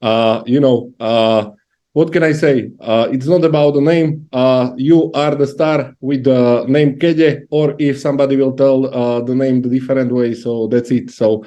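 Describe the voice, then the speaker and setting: Brief: Slovak-accented male interviewer, mellow voice, stop-start questions. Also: medium pitch, steady tone. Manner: halting, many uhs.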